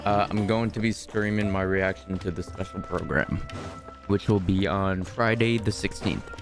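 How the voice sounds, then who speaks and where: Male game streamer over clear music. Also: low voice, normal speed. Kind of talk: casual livestream chat.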